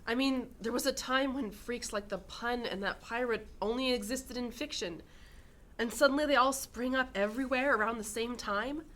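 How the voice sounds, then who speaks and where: A woman's voice: a little high, moderate pace, a bit bright, natural voice. A woman playing a skeptical, scornful police lieutenant in a radio drama. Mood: suspicious. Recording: clear background noise and ambience.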